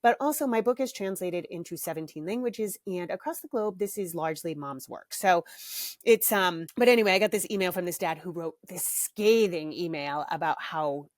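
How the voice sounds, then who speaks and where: Middle-aged lady hosting a podcast, lowish voice, chatty and frank, bit of a cough, dry close mic. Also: normal speed, dry recording. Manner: candid, chatty, laughs easily. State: slight cough from bronchitis. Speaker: podcast host and parenting author. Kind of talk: casual solo podcast chat.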